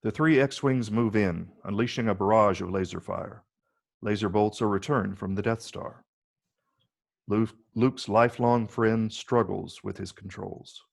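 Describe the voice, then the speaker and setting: A man with a low, gravelly voice reading screenplay stage directions as the narrator. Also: fairly even intonation, unhurried.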